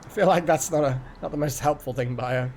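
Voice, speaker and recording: Higher-voiced man streaming a game. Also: a little fast, a little mellow, slight background noise. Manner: rambling, thinks aloud. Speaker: game livestream host. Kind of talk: casual live gaming chat.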